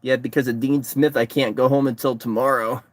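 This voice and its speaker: A man's voice: mid-range voice, steady tone, brisk, dry recording, clean studio sound. A man chatting on a livestream from his parked car, tired and sunburned, rambling and repeating himself. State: exhausted, sunburned. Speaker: livestreamer, distance swimmer. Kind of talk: casual livestream chat reading comments.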